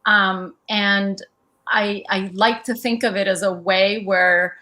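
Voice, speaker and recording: Artist guest: middle-aged woman from El Salvador, reflective, unhurried, plenty of ums. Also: medium pitch, steady tone, sharp tone. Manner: thoughtful, reflective, with frequent ums. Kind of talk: conversational museum artist talk.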